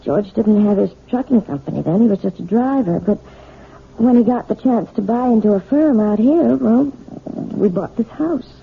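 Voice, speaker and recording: Gentle, nervous piano teacher, tinny radio. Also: female voice, medium pitch, animated, moderate pace, creaky, husky, exaggerated voice. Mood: hesitant, confiding. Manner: soft-spoken, evasive, faltering. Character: gentle, nervous married piano teacher hiding her distress. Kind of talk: old-time radio drama acting. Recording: tinny vintage radio, faint noise.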